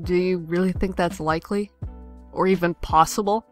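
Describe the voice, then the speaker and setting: A woman's voice: fairly low pitch, fairly even intonation, moderate pace, faint music behind, close to an everyday voice. A woman voicing a bitter, sarcastic estranged sister from fairy tales, biting and dramatic, in a comic dub.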